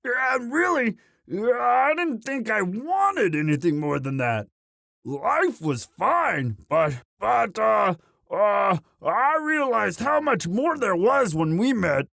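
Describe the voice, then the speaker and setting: Orc boyfriend, male performer: sheepish, devoted muscle, halting unhurried delivery, very dry close sound, romantic audio drama. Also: high-pitched, very animated, vocal fry, fairly bright tone, dry recording, full character voice. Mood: bashful, sincere. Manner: halting, sheepish, stammering with many uhs. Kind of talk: romantic roleplay audio monologue.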